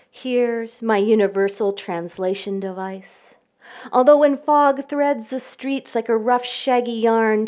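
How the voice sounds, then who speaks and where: A woman poet reading her work aloud at a live event, with measured pacing and careful pauses between lines. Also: a little high, darkish tone. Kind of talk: poetry reading with spoken introductions.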